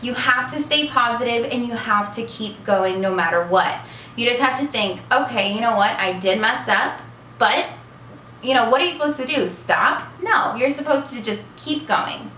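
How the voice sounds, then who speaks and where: A bubbly woman in her twenties talks freely, full of fillers. Her voice is bright and forward. The room echoes, with a faint hiss behind. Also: a little high, normal speed. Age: 20s. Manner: chatty and bubbly, many fillers. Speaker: vlogger. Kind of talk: casual Q&A vlog.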